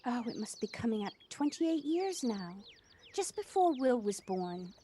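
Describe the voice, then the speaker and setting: A woman's voice: fairly high pitch, sing-song, unhurried, warm tone. A woman acting a friendly, sociable mother in an amateur audio drama, in a conversational manner. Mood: friendly, curious. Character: friendly widowed mother, American-born, long settled in England. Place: at home, recorded remotely. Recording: faint noise, home personal-device recording.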